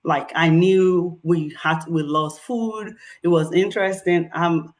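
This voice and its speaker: A middle-aged woman with a Nigerian accent, a professor presenting research at a community meeting with animated storytelling and frequent fillers. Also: fairly low pitch, slow pace.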